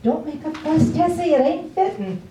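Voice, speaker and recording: A woman's voice: sits high, animated, moderate pace, warm tone. A woman in an amateur stage play acting a nosy, scolding small-town neighbor with a folksy, chiding manner. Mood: chiding, uneasy. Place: library room, staged performance. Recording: dull, echoey distant room, faint noise.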